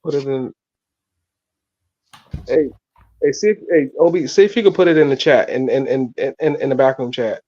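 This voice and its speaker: A man's voice: mid-range voice, normal speed, long pauses, mellow. A man hosting a casual live sports stream over a phone, talking in slang and often repeating himself as he stumbles over words. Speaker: host of a live sports fan show. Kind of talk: casual live-stream chat about college football. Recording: dull, muffled phone audio.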